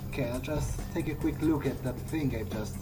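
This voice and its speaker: A man giving in-character video game commentary, thinking aloud in a halting, musing way. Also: mid-range voice. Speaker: gamer doing an in-character playthrough. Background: game music.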